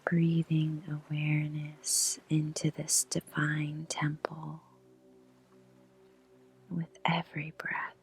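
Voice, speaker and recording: A woman guides a meditation almost entirely in a whisper. Her voice is deeply breathy and husky, sitting low and nearly flat in pitch. She takes her time, unhurried, with long gentle pauses. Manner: soft whispered delivery; slow, soothing pacing with long pauses.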